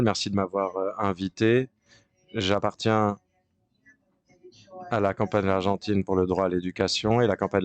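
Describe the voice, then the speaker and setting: A man interpreting a panel discussion in real time from an interpreter's booth, with a fairly low voice. He talks in halting bursts, pausing and restarting as he keeps up with the speakers. The sound is dry and close, with no room around it. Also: clean tone, no room sound. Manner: halting delivery with frequent pauses and restarts. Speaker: conference interpreter.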